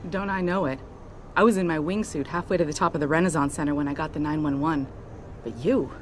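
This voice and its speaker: Woman doing a frank lady chopper pilot, video game, talks fast, some vehicle noise behind her. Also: deep voice, close to an everyday voice. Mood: familiar, frank. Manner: frank, familiar.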